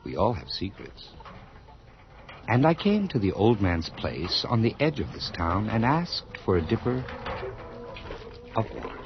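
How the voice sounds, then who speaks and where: An actor playing a nervous, mad drifter insisting he is sane as he confesses, halting and intense, sometimes breaking into breathy whispers in a radio horror drama. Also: fairly low pitch, very animated, a little hiss or hum. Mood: feverish insistence. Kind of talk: dramatic radio horror acting, first-person narration. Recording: dull lo-fi vintage radio recording. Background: music.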